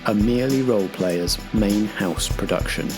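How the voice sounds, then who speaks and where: A man's voice: lowish voice, normal speed, over music, poor audio. A man introducing the show as its compere, in a theatrical announcer delivery. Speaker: host and compere.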